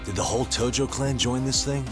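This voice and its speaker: An actor voicing a level-headed private detective asking questions in a video game. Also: male voice, mid-range voice, a little fast, close to an everyday voice. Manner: calm, inquisitive. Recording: music playing behind. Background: music.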